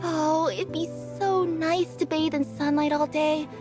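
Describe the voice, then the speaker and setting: An actress voicing a cheerful young female cat-yokai courier for a video game, bubbly and bashful, quick to fluster. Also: sits high, steady tone, over music. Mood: chatty. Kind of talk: video game character voice lines.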